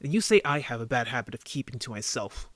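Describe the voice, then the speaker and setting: A man's voice: medium pitch, very animated, quick pace, dry recording. Man acting as a young werewolf, earnest and sheepish, close and dry. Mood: earnest, defensive. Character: young male werewolf, injured, defensive and embarrassed younger brother. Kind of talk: audio drama roleplay.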